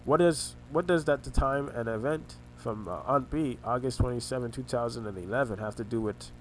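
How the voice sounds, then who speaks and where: A man recounting family arguments in a rambling monologue, halting and often restarting his words, his voice congested and his throat sore. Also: medium pitch, slight background noise, poor audio. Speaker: vlogger recounting family conflicts. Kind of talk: rambling personal monologue over a screen recording.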